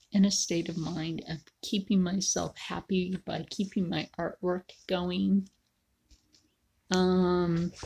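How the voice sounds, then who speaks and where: A woman in her forties chatting casually to viewers while she draws, often sinking into a low whisper. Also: vocal fry, a little mellow, husky. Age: 40s. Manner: slow, frequently drops into a whisper. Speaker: video creator. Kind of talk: casual livestream chat while drawing.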